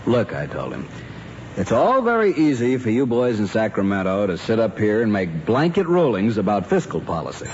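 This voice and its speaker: Exacting middle-aged American dad, vintage family drama. Sarcastic, widely varied intonation, slightly muffled recording. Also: male voice, on the low side, huge pitch swings, normal speed. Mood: self-important. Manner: formal, exacting, dry sarcasm. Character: stern, fussy middle-aged American father, sarcastic and suspicious. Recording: muffled old recording, faint noise.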